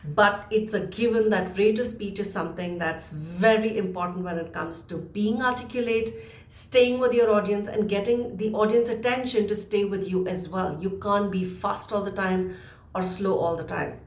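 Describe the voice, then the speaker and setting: A woman presenting a spoken lesson to viewers as a communication coach, emphatic and deliberately varying her speed to stress points. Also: mid-range voice. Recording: roomy, echoing room sound.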